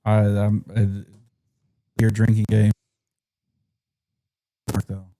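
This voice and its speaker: A man's voice: fairly low pitch, completely flat delivery, extremely slow, mellow, dry recording. A man co-hosting a podcast in casual chat, giving dry, teasing short replies on a studio dynamic mic. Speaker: podcast co-host.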